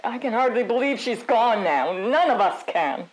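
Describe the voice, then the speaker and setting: A woman playing a guarded suburban club member in a comic audio drama, giving measured, evasive condolences in rehearsed grief. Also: fairly high pitch, animated, lightly acted, poor audio. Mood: stiff grief.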